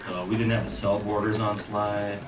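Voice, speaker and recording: A man who works as an art director, giving relaxed, agreeable commentary over game footage and looking back on past work. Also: fairly low pitch, normal speed, background noise. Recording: roomy, distant mic with game music. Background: game music.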